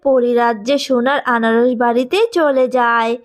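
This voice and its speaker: A woman's voice: on the high side, fairly even intonation, moderate pace. A woman narrating a children's cartoon fable from a script as the storyteller.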